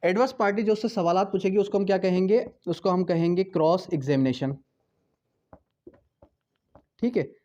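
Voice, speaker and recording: A man's voice: sits high, normal speed, long pauses, warm tone. A man giving an explanatory law lecture in a didactic way, repeating points, asking rhetorical questions and switching between two languages as he reads out and paraphrases. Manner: switches between Hindi and English. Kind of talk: explanatory lecture, reading out legal articles and paraphrasing them. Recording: dull, lo-fi sound.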